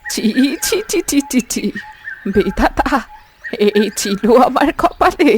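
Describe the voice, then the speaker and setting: A woman's voice: high voice, lively intonation, takes it slowly, a little hiss or hum. A woman playing a suspicious, melodramatic housewife who scolds and laments in a cartoon story. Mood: outraged wailing.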